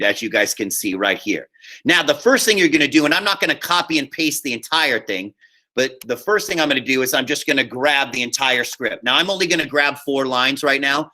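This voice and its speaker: Bright-voiced man teaching a class online. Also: fairly high pitch. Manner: informal, addresses students directly with frequent check-ins. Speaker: high school theater teacher. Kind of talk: live online class instruction, screen-share walkthrough. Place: remote video class call.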